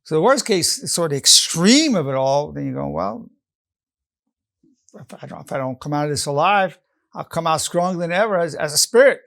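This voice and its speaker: Older male psychologist, gravelly, slightly high voice, emphatic teaching tone. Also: expressive, normal speed, fairly bright tone. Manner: earnest, emphatic, repeats key phrases. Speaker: psychologist teaching an online lesson. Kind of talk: motivational lecture.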